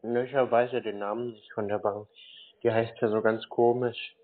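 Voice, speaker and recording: A man's voice: medium pitch, level tone, normal speed. A man in a confused-sounding phone conversation, naive and hesitant, stalling as he talks. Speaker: prank caller answering phone scammers. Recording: tinny narrowband phone line.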